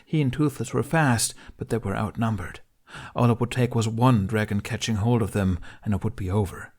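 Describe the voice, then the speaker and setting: A man's voice: on the low side, moderate pace, a little mellow. A man narrating a fantasy adventure audiobook in a dramatic, scripted storytelling style.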